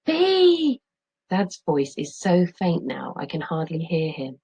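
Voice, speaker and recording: A woman's voice: low voice, unhurried, gravelly, mellow, slightly rough. A middle-aged woman, a teacher reading a children's story aloud expressively.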